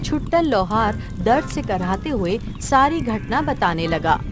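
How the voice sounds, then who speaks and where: Woman narrating a kids story, very lively intonation, music in the background. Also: fairly high pitch, measured pace. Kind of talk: scripted children's story narration.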